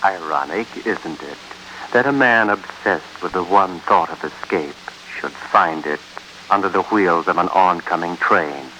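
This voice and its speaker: A man hosting and narrating a 1950s radio suspense show in a solemn, deliberate, dramatic manner. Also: deep voice, bright, forceful tone, a little hiss or hum, rough recording. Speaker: host and narrator. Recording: vintage 1950s radio recording.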